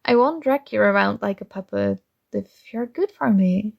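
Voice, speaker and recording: A woman voicing a possessive young princess in an ASMR roleplay, talking in a soft, slow, coaxing and teasing way. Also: mid-range voice, animated, creaky, no echo, lo-fi sound. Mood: sweetly commanding.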